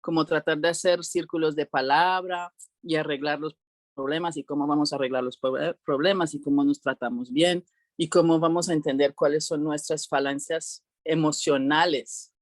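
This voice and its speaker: A woman speaking as an interview guest, explaining things conversationally and haltingly, often breaking off and repeating words. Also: low-pitched, steady tone, no echo, clean studio sound. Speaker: podcast guest.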